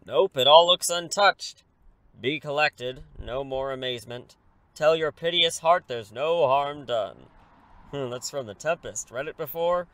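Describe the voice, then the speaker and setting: A man's voice: fairly high pitch, measured pace, a bit bright, dry recording, poor audio. A man playing a wordy superhero in his thirties who is secretly an English teacher, theatrical but easily flustered, in a comedic audio drama. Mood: awkward, sheepish. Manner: glib, wordy, awkward when cornered.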